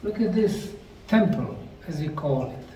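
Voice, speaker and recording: A middle-aged man slowly narrating a documentary on location at ancient ruins, explaining the sites like a tour guide. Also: fairly high pitch, sing-song, dark tone, slight background noise. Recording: roomy, distant mic with faint outdoor ambience.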